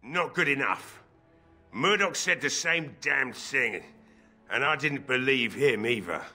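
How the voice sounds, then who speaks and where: An old village blacksmith from a fantasy game, drunk and grieving. His voice is gruff and forceful, bitter and weary, with faint music behind it. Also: mid-range voice, expressive, slow, heavily acted. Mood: doubtful, pleading. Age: older. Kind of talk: fantasy game dialogue acting.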